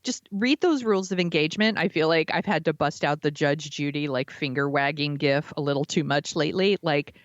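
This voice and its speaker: Low-voiced American woman, dull lo-fi sound. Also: fairly quick, few pauses, dry recording. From United States. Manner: informal, slangy, filler "like". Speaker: podcast co-host. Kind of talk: casual chat.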